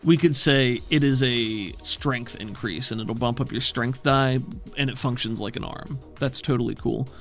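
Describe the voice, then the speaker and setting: Relaxed man explaining game rules. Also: medium pitch, normal speed, faint music behind. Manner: casual, thinks aloud, swears freely. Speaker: game master.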